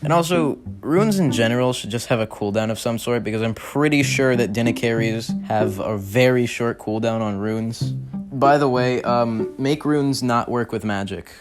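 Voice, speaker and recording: A man's voice: medium pitch, moderate pace. A young man giving blunt, slangy, exasperated opinions in a gaming commentary voice-over. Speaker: gaming video creator. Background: music.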